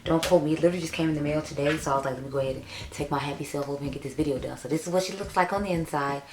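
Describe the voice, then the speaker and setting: A young woman with a raspy voice, chatting casually and upbeat as she reviews makeup for her vlog. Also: low-pitched, rapid-fire, a little hiss or hum. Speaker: beauty YouTuber. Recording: echoey room.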